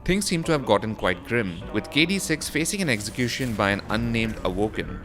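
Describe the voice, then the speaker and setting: A man's voice: on the low side, very animated, brisk, fairly bright tone. A man reading a scripted voice-over for a gaming news roundup, in the role of presenter. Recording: music behind the voice. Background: background music.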